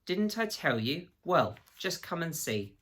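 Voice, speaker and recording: An eager, kindly male beaver from a children's storybook, acted out by a man reading aloud. The voice is lifted high and rings out brightly, exclaiming with great excitement. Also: a little slow. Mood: delighted, triumphant.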